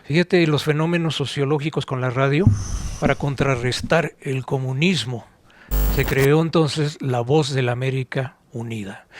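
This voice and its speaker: A man's voice: on the high side, slight background noise. A man co-hosting a podcast, explaining and recalling things conversationally in slightly formal wording. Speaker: podcast co-host.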